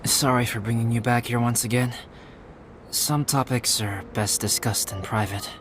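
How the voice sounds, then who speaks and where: Game role: gentle, scholarly young alchemist voiced by a man, soft-spoken, measured explanations, clear music bed behind. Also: mid-range voice, steady tone, close to an everyday voice. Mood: explaining. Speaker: game character. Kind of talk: video game voice acting.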